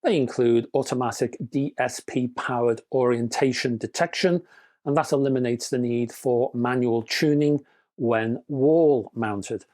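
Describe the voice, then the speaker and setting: A man's voice: mid-range voice, a little slow, a little mellow. A man hosting a hi-fi news roundup, reading product specs in a scripted way with dry, wry asides and chatty sign-offs to his viewers. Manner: dry, wry humor between spec readouts; chatty, addresses viewers as folks. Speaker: hi-fi news channel host.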